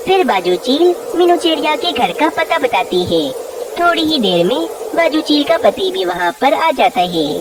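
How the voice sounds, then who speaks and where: A woman's voice: sits high, sing-song, moderate pace, recorded from a distance, background noise. A woman narrating a scripted moral story for children.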